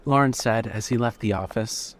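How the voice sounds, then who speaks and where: A man's voice: lowish voice, normal speed. A man reading scripted story narration as the narrator of an audio fiction piece. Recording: faint noise and hum.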